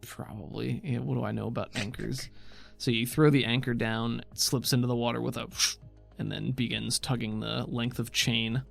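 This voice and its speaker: A man's voice: mid-range voice, steady tone, moderate pace, gravelly. A man narrating a tabletop roleplaying game as game master, mixing descriptive scene-setting with casual asides. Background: background music.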